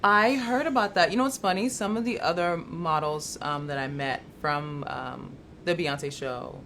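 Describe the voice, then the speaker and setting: Low-voiced young woman model, bright tone. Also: moderate pace, creaky, a little hiss or hum. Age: young adult. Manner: relaxed, laughs easily, animated storytelling. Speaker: model and musician guest. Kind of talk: casual interview chat.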